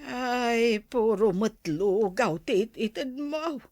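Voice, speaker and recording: A woman acts out a frail elderly mother in a radio drama. She complains slowly in a very high, plaintive voice, querulous and muddled, recorded dry and close. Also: lively intonation, a little mellow, no echo, exaggerated voice. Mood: complaining. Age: older.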